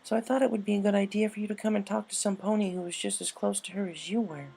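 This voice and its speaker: A thoughtful young mare played by a woman reading a story, supportive and earnest. The voice is raised in pitch and reassuring, with articulate phrasing. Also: steady tone, fast talker, vocal fry, mellow, breathy edge. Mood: explanatory. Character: thoughtful, supportive young female pony friend.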